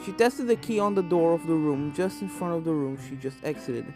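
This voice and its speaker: Woman narrating over soft music. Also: low voice, animated, brisk. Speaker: fan fiction narrator. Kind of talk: scripted story narration. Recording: game music under the voice.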